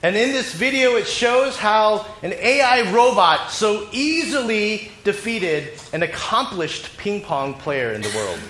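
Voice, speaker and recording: Echoey pastor with a cold. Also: male voice, sits high, very animated, sharp tone. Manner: speaks in short phrases, pausing for the interpreter. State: has a cold. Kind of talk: sermon with interpretation. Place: church sanctuary. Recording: echoey church hall with faint ambience.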